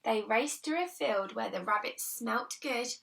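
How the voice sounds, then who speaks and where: A woman reading a children's picture book aloud in an expressive, deliberate storytelling manner, leaning on the rhymes. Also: fairly high pitch, creaky, recorded from a distance. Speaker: primary school teacher reading aloud.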